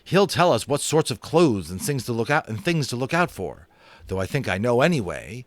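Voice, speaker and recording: A man voicing an earnest, quick-thinking schoolboy, raising his pitch and pressing his points. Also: sing-song, no room sound, natural voice. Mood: eager.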